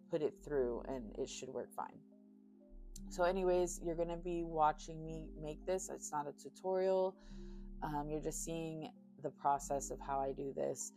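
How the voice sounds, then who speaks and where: A woman in her thirties chatting casually and relaxed, with easy fillers and light laughter, telling a storytime while beading. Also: deep voice. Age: 30s. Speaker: crafter and vlogger. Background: faint chill music.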